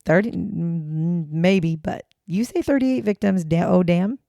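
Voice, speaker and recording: A woman's hoarse, raspy voice, gravelly and dark, on a fairly low pitch. She talks through a true-crime podcast in a chatty, blunt way, with casual swearing. The sound is dry and close, with no room around it. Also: unhurried, no echo, lo-fi sound. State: hoarse, raspy voice after choking on water. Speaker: podcast co-host.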